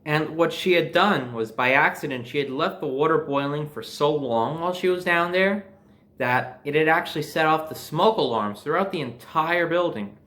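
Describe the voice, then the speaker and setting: A young man telling personal stories in a casual, animated way, swearing freely and acting out bits of dialogue. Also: a little high, moderate pace. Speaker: storyteller.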